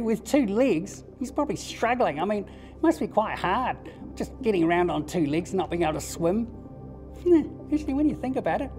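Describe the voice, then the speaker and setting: A woman voicing a curious, excitable young striped fish in a children's puppet show. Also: fairly high pitch, lightly acted. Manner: excitable, easily baffled.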